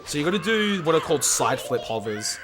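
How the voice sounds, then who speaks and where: A man's voice: on the high side, very animated, fairly quick, music in the background. A young Australian man giving chatty, casual livestream gaming commentary, calling viewers mate and dude. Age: young adult. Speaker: video game speedrun streamer.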